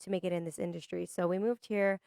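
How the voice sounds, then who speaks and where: A young American woman with a low, raspy voice, chatting casually and playfully as a singer being interviewed on a podcast. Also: steady tone, fast talker, darkish tone, no room sound, studio quality. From Hawaii, USA.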